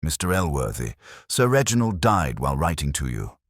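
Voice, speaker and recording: A man's voice: extremely low pitch, sing-song, moderate pace, no room sound, studio quality, full character voice. A man voicing a keen, commanding Victorian detective in an audiobook, precise and authoritative. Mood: grave, commanding.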